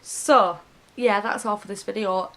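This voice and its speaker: Hoarse woman telling a crime story. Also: mid-range voice, fairly even intonation, measured pace, a bit bright. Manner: earnest, heartfelt. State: hoarse and sniffly from a cold. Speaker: true crime YouTuber. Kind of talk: casual true crime narration to camera.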